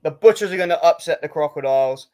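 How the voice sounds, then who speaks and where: A man's voice: a little high, fast, dark tone. A man co-hosting a sports podcast, giving his picks in a confident, matter-of-fact way with some teasing. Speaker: podcast co-host. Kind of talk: casual sports picks chat.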